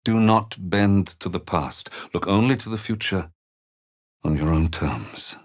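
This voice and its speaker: A man playing a gentle, weary older father in a fantasy video game, speaking in measured, earnest, formal phrases. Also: sits low. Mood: tender, solemn. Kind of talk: dramatic fantasy game dialogue.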